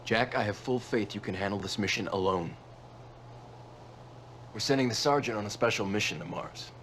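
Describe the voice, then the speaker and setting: An actor playing an authoritative, serious military commander who mentors a recruit in a scripted game cutscene, speaking firmly. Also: male voice, lowish voice, normal speed, fairly bright tone, slight background noise, natural voice. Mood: briefing. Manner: firm, instructing. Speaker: commanding officer.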